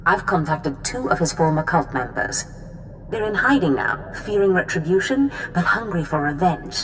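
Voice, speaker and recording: Casting: a calculating Sith mistress in a video game; deep for a woman, lively intonation, commanding. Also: normal speed, a little hiss or hum. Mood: coolly calculating. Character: scheming Sith master, composed imperious woman. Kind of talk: scripted game dialogue.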